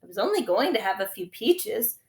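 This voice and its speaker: A woman voicing a smug, evasive male doctor in a storybook, calm and breezily dismissive. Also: medium pitch, brisk, reverberant.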